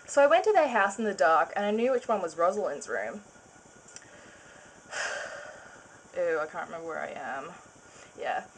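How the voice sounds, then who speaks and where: A woman's voice: fairly low pitch, very animated, measured pace, pauses often, a little hiss or hum, rough recording. A woman giving a mock-dramatic reading of fan fiction, giggling and breaking off for sarcastic asides. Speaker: reader of her own fan fiction.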